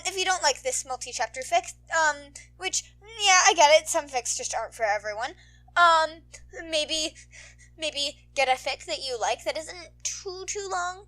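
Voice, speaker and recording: An excitable woman with a high, bright voice talks casually to her listeners. She rambles and gushes in slangy speech full of "like". It sounds like an informal host's chat. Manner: gushing, excitable, slangy, frequent "like". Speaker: podfic reader and host.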